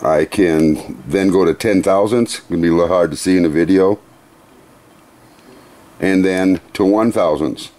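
Middle-aged male demonstrator, workshop, low pitch, slow methodical plain delivery. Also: a little mellow. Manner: unhurried, methodical, plain-spoken with small restarts. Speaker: CNC machine demonstrator. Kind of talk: hands-on equipment demonstration. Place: workshop beside a CNC router.

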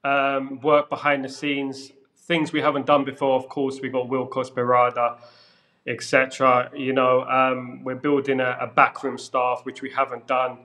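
A man, a guest giving measured, plain-spoken football views over a video call. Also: mid-range voice, completely flat delivery, bright, forceful tone. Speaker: guest pundit. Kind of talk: casual football chat. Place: room with brick wall, indoors. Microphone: podcast mic on video call.

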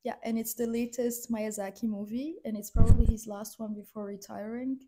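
A woman's voice: on the high side, little pitch movement, fairly quick, heavy background noise. A young woman who is sick, co-hosting a casual film review chat, speaking in a thoughtful, hesitant way. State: sick, voice affected by illness.

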